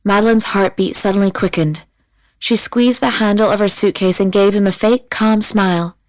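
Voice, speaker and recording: A woman narrating a scripted audiobook in a measured, dramatic manner. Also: medium pitch, dry recording, rough recording.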